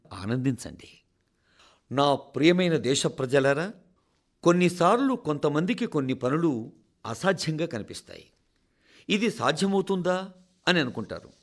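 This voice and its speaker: Male Telugu radio reader, formal scripted address, higher-set voice, lively intonation, deliberate phrasing.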